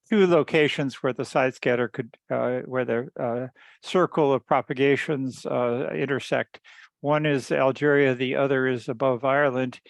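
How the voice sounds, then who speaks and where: A man giving an unscripted technical explanation on a group call, halting, repeating words and often clearing his throat. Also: medium pitch, dry recording. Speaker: engineer presenting research at a teleconference.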